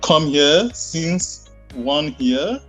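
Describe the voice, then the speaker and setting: A man from Africa, an adult English learner, speaks in a halting way with fillers. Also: a little high, animated, fairly bright tone, soft background music.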